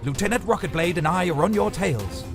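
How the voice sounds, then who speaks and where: A man plays a stern half-elven commander of dragonriders in a fantasy audio tale. The voice is pitched high and swings widely as it barks disciplined orders, with music underneath. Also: expressive, fairly quick. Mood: commanding. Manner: commanding, raised over the wind. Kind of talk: fantasy audio drama character. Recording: music behind the voice.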